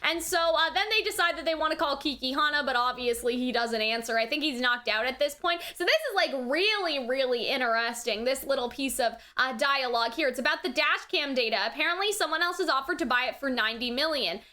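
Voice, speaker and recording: Female reaction host, very high and bright voice, chatty and animated, loose rambling delivery. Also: fast talker, few pauses. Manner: animated, conversational, often checking in with "right?" and "you know what I mean?". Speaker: anime reaction and review host. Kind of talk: casual rambling commentary and review.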